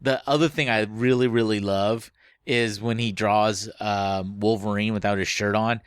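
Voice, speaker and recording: A man's voice: on the low side, fairly even intonation, moderate pace, smooth, no echo, studio quality. A man co-hosting a casual book club podcast, speaking informally with a joking, crude sense of humour. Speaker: podcast co-host.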